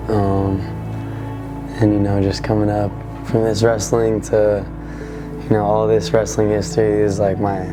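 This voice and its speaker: Hoarse man in his 20s, low voice, halting, music underneath. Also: little pitch movement. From California. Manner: halting, searching for words. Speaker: boxer. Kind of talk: interview. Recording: with background music.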